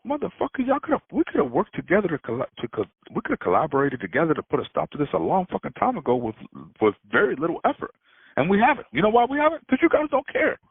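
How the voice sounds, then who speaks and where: A fast-talking man ranting on a phone call, his slightly high voice swinging up and down as he curses and laughs. The sound is thin and phone-like, with a husky edge. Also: no room sound. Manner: animated, swears a lot, laughs often. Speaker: freight broker. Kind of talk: heated casual phone talk. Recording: tinny phone line.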